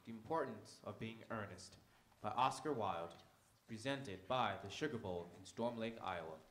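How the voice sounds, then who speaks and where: A man's voice: fairly low pitch, lively intonation, moderate pace, fairly bright tone, a little hiss or hum. A man announcing at a live radio theatre show, reading a scripted sponsor message. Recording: roomy live sound with music behind. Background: music.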